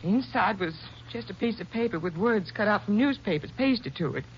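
An American actress playing a distraught, earnest nurse in a 1950s radio drama, speaking in a halting, emotional way. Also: female voice, medium pitch, animated, natural voice. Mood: shaken, recalling. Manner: tremulous, halting, emotional delivery. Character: distraught, shaken female nurse, devoted and earnest. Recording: muffled, noisy 1950s radio recording.

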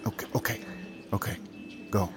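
An actor playing a hesitant, obliging underling in an audio drama, speaking in a subdued way. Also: male voice, normal speed, a little hiss or hum, natural voice.

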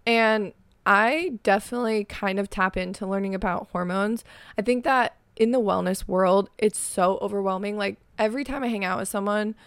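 A young woman chats through her solo podcast episode, her voice raspy as she is losing it but still even and smooth at the core. She rambles in a frank, filler-heavy way, and the sound is dry and close with no room around it. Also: medium pitch, no echo. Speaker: podcast host. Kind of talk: casual solo podcast chat.

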